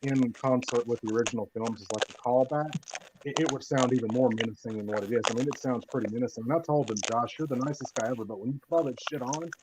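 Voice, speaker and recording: A man's voice: mid-range voice, fast talker. A man from Tennessee, a film producer guest, chatting casually in a rambling way with restarts. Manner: rambling, many fillers and restarts. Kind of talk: casual live show chat. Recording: noisy live stream audio.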